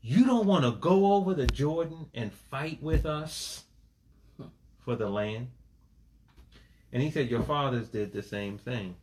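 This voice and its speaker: A man's voice: medium pitch, expressive, a little slow, pauses often, a little mellow, slight background noise. Preacher man with call-and-response amens. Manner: preaching with call-and-response, folksy asides, repeated "amen". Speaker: pastor. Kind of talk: sermon.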